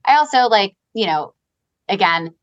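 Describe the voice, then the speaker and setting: Quick-talking Long Island woman. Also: medium pitch, lively intonation, highs cut off. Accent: Long Island New York. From Long Island, New York. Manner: fast, fluent, filler-heavy with frequent "like" and "you know". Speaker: guest, real estate advisory co-founder. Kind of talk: casual business interview.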